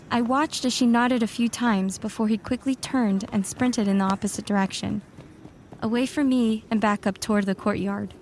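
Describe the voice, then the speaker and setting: A woman's voice: a little high, darkish tone, slight background noise, natural voice. A woman acting a young, self-assured warlock student who narrates and speaks in a fan audio drama.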